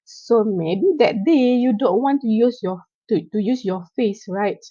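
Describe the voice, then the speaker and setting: A woman lecturer with a nasal, stuffy voice from a cold, explaining software tools to students with frequent fillers and checks for understanding. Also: on the high side, lively intonation, dry recording, muffled recording. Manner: explains slowly with many fillers and check-ins.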